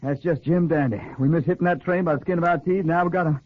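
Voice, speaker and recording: Man acting boyish college sidekick, high voice, good-natured, easily exasperated, old radio serial, muffled and very noisy. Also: quick pace, natural voice. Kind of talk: 1940s radio adventure acting.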